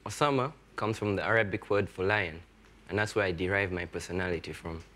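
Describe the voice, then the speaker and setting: A man's voice: deep voice, fairly quick, bright, forceful tone. A man, a quiz contestant, speaking boldly and boastfully. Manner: boastful, bold.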